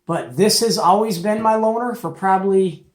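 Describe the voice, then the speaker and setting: A man's voice: sits high, normal speed. A middle-aged man giving a casual, folksy and opinionated product show-and-tell as the host. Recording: echoey, roomy home recording.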